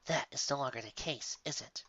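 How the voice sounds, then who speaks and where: A man voicing a calm, regal female pony princess of the sun in a fan story, measured and formal. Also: medium pitch, breathy edge, dry recording, dull audio. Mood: grave, apologetic.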